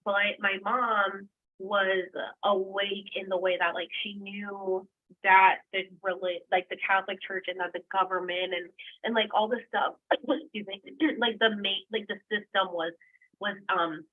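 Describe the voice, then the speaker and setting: A woman from Puerto Rico tells her life story as a podcast guest, talking quickly and filling her speech with "like". Her voice sits at a middle pitch, and the sound is narrow and tinny, like a phone line. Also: little pitch movement. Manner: quick, chatty, with lots of "like". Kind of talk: casual storytelling chat.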